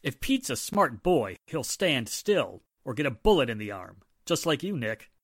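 A man's voice: medium pitch, big pitch swings, normal speed, slightly rough, no echo, clean studio sound. A man playing a wry, self-assured police inspector in a 1940s style radio drama, breezy and sardonic. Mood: cool, threatening.

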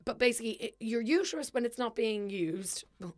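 A young woman co-hosting a podcast, chatting casually and telling stories, swearing freely, her voice sometimes a little gravelly. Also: fairly high pitch, lively intonation, brisk. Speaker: podcast co-host. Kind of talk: casual chat.

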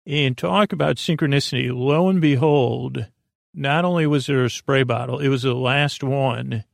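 A man with a creaky voice hosting a sleep podcast, telling meandering stories in a halting, digressive, self-deprecating way. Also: mid-range voice, dry recording, studio quality. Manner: halting, digressive, full of false starts and trailing tangents; self-deprecating, gently absurd musing. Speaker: podcast host.

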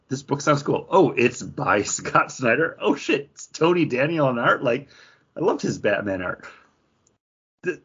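A man's voice: lowish voice, slightly rough. A man chatting casually as a podcast co-host, rambling through his opinions and acting out imagined quotes. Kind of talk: casual chat, book review. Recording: dull, lo-fi podcast audio.

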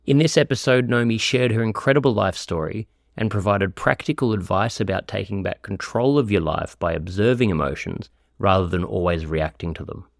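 A man hosts an interview podcast with a very deep voice. He reads out a guest introduction and then asks his questions in a loose, hesitant way, circling around before landing on the point. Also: fairly quick, gravelly, hardly any pauses. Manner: rambling, hesitant when asking questions. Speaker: podcast host.

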